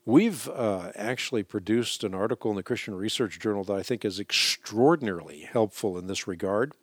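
A man who speaks Dutch as his first language, hosting a call-in radio show and answering listeners' questions in a measured, deliberate way with frequent hesitations. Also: fairly low pitch, lively intonation, slightly rough, no echo. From Netherlands.

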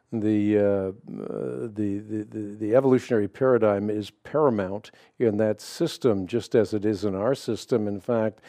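A man's voice: lowish voice, mellow, no echo, clean studio sound. A man born in the Netherlands whose first language is Dutch, hosting a call-in radio show and talking earnestly with many fillers.

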